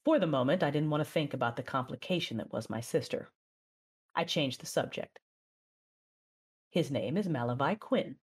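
Deep, husky, seductive Sith woman. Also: animated, normal speed, pauses often. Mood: brooding. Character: seductive, proud, sly Sith woman narrating her own tale. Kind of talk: audio drama first-person narration and dialogue.

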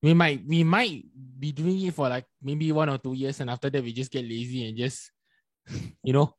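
A man's voice: mid-range voice, steady tone, moderate pace. A young man from Malaysia with a Malaysian English accent, co-hosting a casual chat and rambling with repeated words. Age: young adult. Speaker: podcast co-host.

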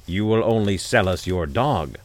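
A man reading a storybook aloud and voicing a band of greedy robbers in a loud, demanding tone. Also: deep voice, animated, normal speed, dry recording. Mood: accusing.

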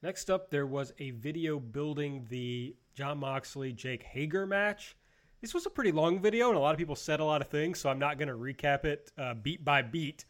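Higher-voiced man hosting a talk show. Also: normal speed. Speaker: host of a wrestling review show. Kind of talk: casual panel discussion and recap.

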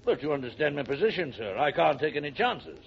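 Creaky, fussy old gentleman. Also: a little high, sing-song, fast. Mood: stiff, apologetic. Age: older. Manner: courteous, fussy, dithering. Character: fussy, polite, flustered old ship's gentleman, male. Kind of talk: 1940s radio character acting. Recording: dull, noisy old radio recording.

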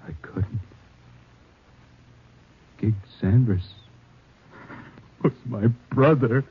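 An actor playing a gangster's sullen younger brother in a 1950s radio drama, quiet, terse and reluctant. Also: male voice, mid-range voice, extremely slow. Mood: broken, subdued. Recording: very muffled, noisy old radio recording.

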